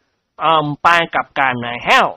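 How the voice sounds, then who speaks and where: A reader voicing a self-important male doctor and scientist who talks slow and measured. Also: pushed and bright, no echo. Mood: earnest.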